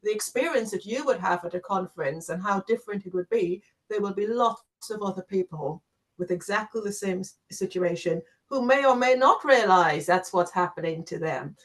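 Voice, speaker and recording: A woman in an informal conversation answers in an affirming, reflective manner. She often backs her partner up with a soft "mm". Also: medium pitch, moderate pace, low-quality recording. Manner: warmly affirming, reflective, listens with frequent "mm"s. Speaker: co-host.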